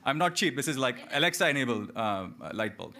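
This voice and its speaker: A man's voice: mid-range voice, expressive, fairly quick, sharp tone. A man moderating a panel discussion in an informal, conversational way.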